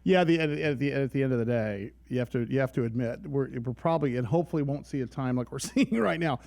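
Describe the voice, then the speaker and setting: A man's voice: medium pitch, fast talker, vocal fry, darkish tone. A middle-aged American man hosting a conversational radio interview, speaking in an informal, effusive way. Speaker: radio show host.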